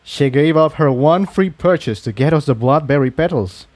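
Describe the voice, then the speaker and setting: Storybook role: courteous, amiable male pony refugee with a soft dark tone, acted by a man. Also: a little high, animated, normal speed, lightly acted. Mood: friendly.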